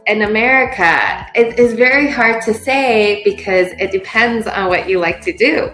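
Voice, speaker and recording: A woman from California giving measured, thoughtful travel advice. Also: mid-range voice, pushed and bright, soft background music. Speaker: interviewee. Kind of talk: casual recommendations. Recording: echoey room.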